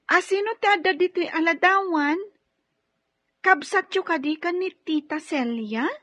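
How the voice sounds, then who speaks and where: An actress playing a sweet, respectful young student daughter in a Filipino radio drama, polite and hesitant, with a Filipino accent. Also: female voice, very high-pitched, measured pace, fairly bright tone. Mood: grateful, curious.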